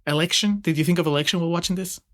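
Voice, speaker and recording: A man's voice: on the high side, rapid-fire, a bit bright. A man with a Peruvian accent co-hosting a casual film review podcast, wry and sarcastic, often breaking into laughter. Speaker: podcast co-host.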